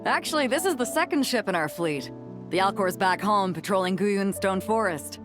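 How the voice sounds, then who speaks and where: A woman's voice: medium pitch, expressive, moderate pace, natural voice. A woman voicing a bold, hearty young female sea captain in a video game, with a confident, colloquial delivery. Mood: amused, casual. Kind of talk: video game character voice acting. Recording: music playing behind.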